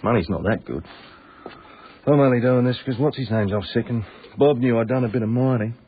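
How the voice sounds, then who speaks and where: An actor playing a breezy, cheeky Australian tunnel worker in a naturalistic radio drama, with a wry, blunt way of talking. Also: male voice, medium pitch, a little fast, natural voice. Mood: wry, chatty. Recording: tinny band-limited radio broadcast, audible noise.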